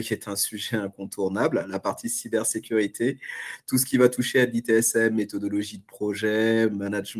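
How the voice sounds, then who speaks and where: A man's voice: on the low side, darkish tone. A man hosting a training webinar over a video call, introducing the topics and handing over to the speaker, with frequent fillers and restarts. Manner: hesitant, many fillers and restarts. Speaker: webinar host from the training company.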